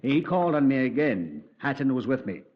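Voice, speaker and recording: An actor playing a composed Scotland Yard detective who narrates the case in a measured, politely ironic way, on a dull old radio recording. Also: male voice, medium pitch, big pitch swings, a little hiss or hum, close to an everyday voice. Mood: calm. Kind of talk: 1950s radio drama.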